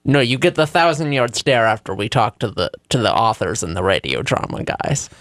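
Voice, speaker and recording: A man's voice: mid-range voice, lively intonation, normal speed, fairly bright tone, dry recording. A man co-hosting a radio show, talking casually with dry, wry humor. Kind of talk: casual chat.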